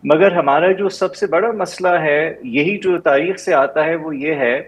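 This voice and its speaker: A man's voice: on the high side, unhurried, slightly rough. A man with a Pakistani Urdu accent, a historian guest explaining history steadily in a lecturing way, with "basically" and "uh" fillers. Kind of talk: explanatory studio interview answer.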